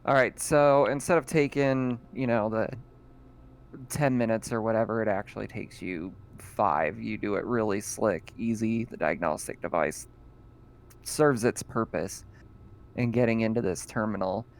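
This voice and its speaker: A man narrating as game master in a relaxed, chatty way. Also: lowish voice, a little slow.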